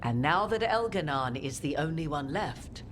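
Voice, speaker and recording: An actress playing a measured, scholarly woman advisor in a fantasy game, with formal, deliberate phrasing. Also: deep voice, lively intonation. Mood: grave. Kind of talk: dramatic game cutscene acting. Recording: faint ambient noise behind the voice.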